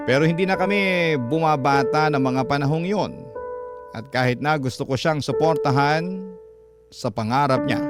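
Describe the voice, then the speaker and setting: A regretful letter writer read by a man tells a troubled love story as scripted radio narration. The voice is mid-pitched, measured and reflective. Loud music plays beneath it throughout. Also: natural voice.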